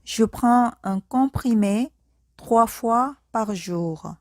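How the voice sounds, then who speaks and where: A woman teaching a language, saying short sentences slowly and carefully, phrase by phrase, then repeating them whole. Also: on the high side, a little mellow, no echo, studio quality. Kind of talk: slow speaking-practice drill.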